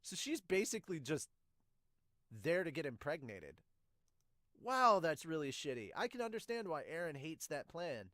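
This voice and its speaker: A man's voice: fairly high pitch, big pitch swings, normal speed, a bit bright, no room sound. Young man rambling casually, dry close mic. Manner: rambling, thinking aloud. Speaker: podcast host reviewing manga. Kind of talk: casual reaction commentary. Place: small indoor room with bookshelves. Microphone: close studio condenser with pop filter.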